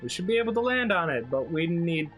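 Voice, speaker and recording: Animated, bright-voiced man commentating. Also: on the high side, fast. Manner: giggly, enthusiastic. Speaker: gaming video co-host. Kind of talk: casual let's play commentary. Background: game music.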